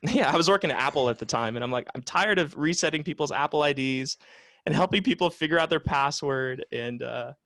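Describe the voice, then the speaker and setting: A man in his late twenties talks things through in a relaxed interview, circling back with fillers and restarts. His voice carries a gravelly fry. The sound is dry and close, with no room around it. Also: a little high, fairly quick, dry recording. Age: 20s. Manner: reflective, many fillers and restarts. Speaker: psychotherapist guest. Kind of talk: casual conversational interview.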